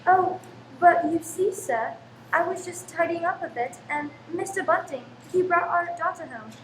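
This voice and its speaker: Woman acting nervous, high-voiced mother. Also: normal speed. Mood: appeasing. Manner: hesitant, nervous, appeasing. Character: anxious, flustered, protective mother and landlady. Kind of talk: radio play acting. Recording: echoey room with faint noise.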